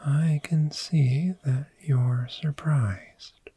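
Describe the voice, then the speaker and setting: Man as a very slow, whispering friendly dragon. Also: on the high side, steady tone, no room sound, heavily acted. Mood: cozy, welcoming. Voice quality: soft, breathy whisper. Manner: slow, soothing, unhurried with long pauses. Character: friendly, gentle cave dragon fond of sleep. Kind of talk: soft-spoken ASMR roleplay.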